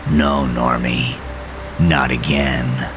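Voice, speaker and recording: A man voicing a cold, vengeful undead brother with a gravelly voice and a slow, taunting menace. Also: extremely low pitch, fairly even intonation, music in the background, voice pushed down, heavily acted.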